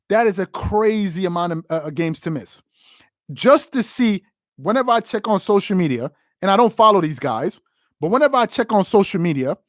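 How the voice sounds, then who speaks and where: A man's voice: sits high, no room sound, studio quality. A man from the United States hosting a casual sports talk stream, reading viewer comments and giving emphatic opinions, often repeating phrases. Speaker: sports show host.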